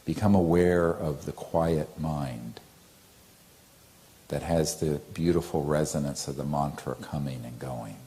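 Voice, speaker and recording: A man with an extremely low voice guides a meditation. He speaks slowly and gently, with a mellow, relaxed tone. His delivery is calm and soothing, like a teacher giving unhurried instruction.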